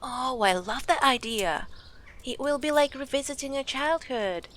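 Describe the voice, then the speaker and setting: A woman's voice: high voice, animated, unhurried, fairly bright tone, slight background noise. A woman playing a wealthy, playful host of a country house in an audio drama, self-assured and teasing. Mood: eager. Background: music.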